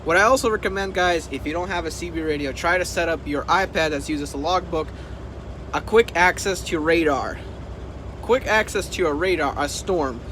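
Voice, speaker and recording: A man talking casually to the camera as a trucking vlogger, urging his points emphatically and repeating them. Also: fairly high pitch. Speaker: trucker and video host. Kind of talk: casual vlog talk to camera. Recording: noisy vehicle and outdoor background.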